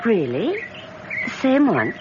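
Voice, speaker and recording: Young society woman, radio drama: playful, haughty, impatient, very animated delivery, noisy dulled sound. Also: a little high, takes it slowly, dull audio, heavily acted. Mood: airy. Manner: teasing, imperious. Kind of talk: dramatic radio play acting.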